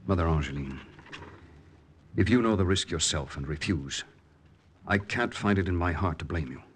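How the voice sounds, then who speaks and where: Actor as deep-voiced wartime lieutenant, grave and measured. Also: male voice, slight background noise, lightly acted. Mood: earnest, grave. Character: earnest male wartime underground lieutenant narrating his mission. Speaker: actor and first-person narrator. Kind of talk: 1940s radio drama acting. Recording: vintage 1940s radio recording.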